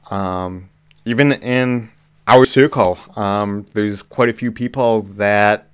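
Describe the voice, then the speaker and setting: A man hosting a live movie chat, slightly hoarse with a cough, talking casually with lots of ums. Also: lowish voice. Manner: relaxed, frequent ums and restarts. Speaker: livestream host. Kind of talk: casual live chat reading viewer comments.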